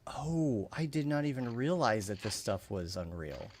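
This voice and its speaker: A man's voice: medium pitch, very animated, measured pace, darkish tone. A man co-hosting a podcast, chatting casually and thinking aloud as he talks. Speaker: podcast co-host. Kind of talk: casual chat.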